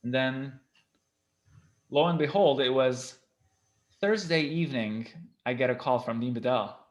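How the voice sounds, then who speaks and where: A man's voice: medium pitch, unhurried. Man, Armenian native speaker, guest professor, earnest storytelling, frank, many ums and restarts. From Lebanon. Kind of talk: casual interview storytelling.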